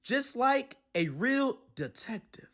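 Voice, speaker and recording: A man voicing an eager, excitable little male Dalmatian puppy who dreams of being a detective, in a storybook reading. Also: very high voice, slow pace, voice pushed up, full character voice. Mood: excited.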